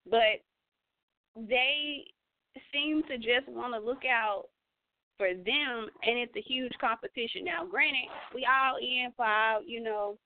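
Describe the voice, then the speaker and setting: A woman's voice: on the high side, slow. A woman hosting a radio talk show, chatting casually in slang and thinking aloud with lots of fillers. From United States. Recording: tinny phone-line sound.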